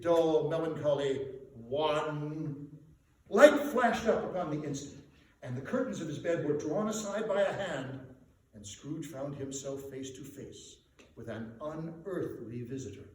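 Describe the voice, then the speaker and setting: A man narrating classic fiction as a dramatic storyteller, with theatrical, measured and deliberate phrasing. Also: fairly high pitch, darkish tone. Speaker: storyteller, performer. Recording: echoey room, distant mic, faint noise.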